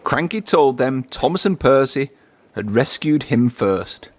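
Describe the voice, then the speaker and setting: A man's voice: medium pitch, expressive, a little slow. A man narrating a children's storybook, reading aloud.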